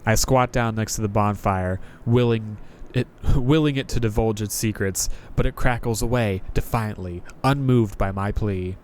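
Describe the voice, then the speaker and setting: A man's voice: lowish voice, moderate pace, few pauses, a little mellow, slight background noise. A man hosting a gaming video, reading narration aloud and commenting in a casual, jokey, informal way.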